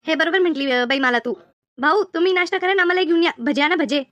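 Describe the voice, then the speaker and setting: A woman voicing a jumpy, eager farm labourer in a village cartoon, excitable and repetitive, with a rural Maharashtrian Marathi accent. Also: high voice, fast, dry recording. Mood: playful. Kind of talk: cartoon comedy dialogue.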